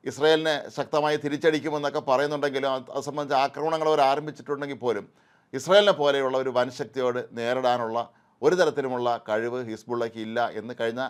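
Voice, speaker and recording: A man's voice: mid-range voice, clear, resonant tone. A man with a Malayali accent presenting a news report with commentary.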